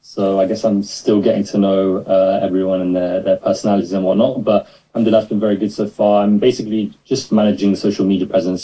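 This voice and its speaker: A man's voice: low voice, dark tone, husky, low-quality recording. A man in his twenties from London, talking hesitantly with many fillers as a guest on a video call. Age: 20s. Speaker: guest, new staff member. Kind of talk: casual interview over video call.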